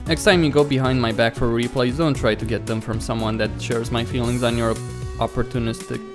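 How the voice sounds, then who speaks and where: A smug rival pro gamer from a visual novel, voiced by a man reading along. He talks down to his opponent with a sneer and plenty of scorn. Music plays clearly underneath. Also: medium pitch, fairly even intonation, a little fast, natural voice. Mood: scornful. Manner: sneering, condescending.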